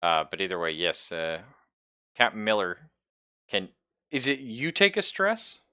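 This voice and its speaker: A man's voice: fairly low pitch, huge pitch swings, unhurried, bright, forceful tone, smooth, no echo, studio quality. A man running a tabletop roleplaying game as game master, casual and informal, thinking aloud and swearing freely.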